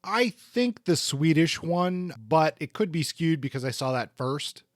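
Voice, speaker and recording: A man co-hosting a book discussion, speaking casually in a thoughtful, halting way with repeated words. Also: a little high, lively intonation, dry recording, studio quality. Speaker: podcast co-host reviewing crime novels.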